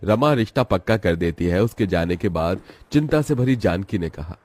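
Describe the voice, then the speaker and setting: A man's voice: low voice, animated, moderate pace, a little mellow. A man narrating a scripted story as its storyteller.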